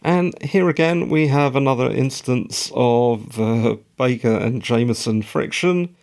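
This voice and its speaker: A man hosting his own podcast, with a croaky, tired voice and rambling, self-mocking asides. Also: mid-range voice, unhurried, a little mellow. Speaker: podcast host. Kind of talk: solo podcast recap monologue.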